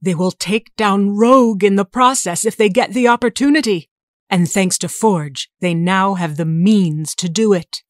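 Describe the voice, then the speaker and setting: A commanding woman schemer in an audio drama performed by an actress, with a mid-range voice. She is sharp-tongued and coldly calculating, a shapeshifter in official disguise. The sound is close and dry. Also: medium pitch, unhurried, dry recording, natural voice. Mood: brooding, calculating. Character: commanding, defiant shapeshifting mutant schemer posing as government doctor.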